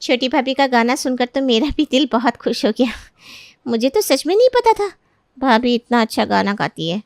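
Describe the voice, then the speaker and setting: A woman's voice: on the high side, expressive, normal speed, no echo, studio quality, pitched above the performer's own voice. A woman voicing an earnest, sweet young sister-in-law in a storybook tale.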